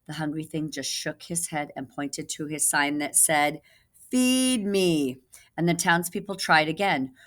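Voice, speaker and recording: A woman teacher reading a children's story aloud expressively, pausing to put questions to the listener. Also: low-pitched, steady tone, fairly bright tone.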